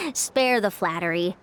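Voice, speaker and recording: A woman playing a playful, confident young female designer and coach in an anime game, explaining briskly and teasingly. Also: medium pitch, sing-song, a bit bright. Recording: faint music behind the voice.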